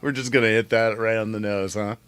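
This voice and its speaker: Bright-voiced man chatting on a podcast. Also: fairly low pitch, steady tone, a little fast, clean tone, no room sound, studio quality. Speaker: podcast co-host. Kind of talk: casual review chat.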